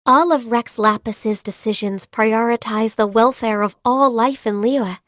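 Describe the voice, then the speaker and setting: Shy young female game character, diligent secretary, gentle, polite, earnest, high-pitched, dry recording. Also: unhurried, dry recording. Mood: earnest, formal. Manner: polite, earnest, hesitant when flustered. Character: gentle, diligent, shy half-beast secretary, youthful woman. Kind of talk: video game character voice lines.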